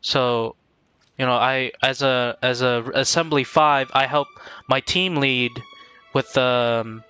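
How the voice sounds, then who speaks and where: A man giving casual live-stream game commentary in a halting, rambling way, often repeating words as he goes. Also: medium pitch, steady tone, pushed and bright, smooth.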